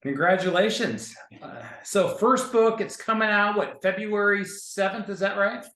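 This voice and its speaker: Eager man co-hosting, slightly high voice. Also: unhurried, fairly bright tone. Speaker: podcast co-host, executive coach. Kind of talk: casual interview chat. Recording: roomy echo.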